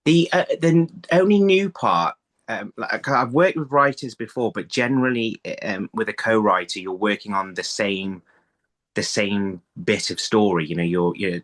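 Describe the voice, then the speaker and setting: A man's voice: on the low side, normal speed, a bit bright. A middle-aged man talking casually as an interview guest on a video call, rephrasing himself mid-thought. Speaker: interview guest, audio drama writer. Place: small room at home, on a video call. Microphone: phone on a video call.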